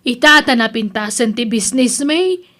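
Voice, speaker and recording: High-voiced feisty daughter-in-law, acted by a woman. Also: animated, moderate pace, bright, forceful tone. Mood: indignant. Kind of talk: Ilocano comedy radio-style drama acting.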